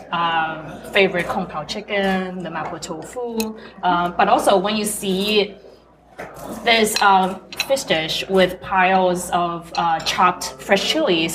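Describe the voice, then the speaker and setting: Restaurant lady talking up the dishes, slow and unhurried, kinda far from the mic. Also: mid-range voice. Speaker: restaurant family member. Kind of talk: casual lunch conversation. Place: restaurant table during a meal. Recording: distant voice in a noisy restaurant.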